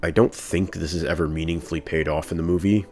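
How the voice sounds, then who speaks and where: A man reviewing a film in voice-over, with a dry, monotone, deadpan delivery. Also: deep voice, quick pace, faint music behind.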